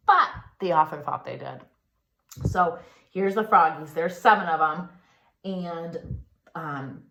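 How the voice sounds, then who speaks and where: A woman reading a picture book aloud for young children in an expressive, singsong way, pausing to ask questions like a pre-K teacher. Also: sits low.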